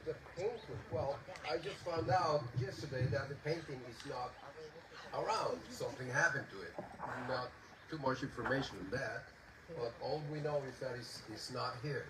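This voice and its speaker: A man's voice: mid-range voice, crowd noise. A man from Puerto Rico with a Puerto Rican Spanish accent, speaking hesitantly to a concert audience. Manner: hesitant, halting, with many fillers. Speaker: composer and pianist. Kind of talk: informal spoken talk to an audience. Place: outdoor garden concert. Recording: distant echoey outdoor recording with noise.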